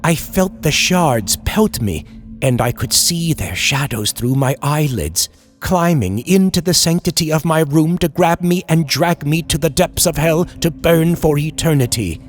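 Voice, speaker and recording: Slow, dramatic man narrating horror over music. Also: fairly high pitch. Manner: theatrical, deliberate storytelling. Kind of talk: dramatic scripted horror narration in old-time radio style.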